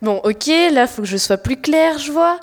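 A woman's voice: high-pitched, expressive, normal speed. A teenage girl playing a blunt, exasperated married woman in a comic audio drama, hesitant and slangy. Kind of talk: comic audio fiction acting. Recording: echoey hall reverb.

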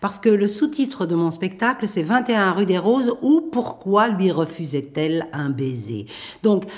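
A middle-aged woman, speaking thoughtfully in a radio interview, pausing and restarting often. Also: mid-range voice, lively intonation. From Belgium. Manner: thoughtful, hesitant with many pauses and restarts. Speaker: playwright and performer.

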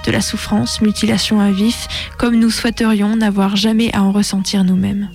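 A woman's voice: mid-range voice, little pitch movement, normal speed, creaky. A woman presenting on the radio. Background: music.

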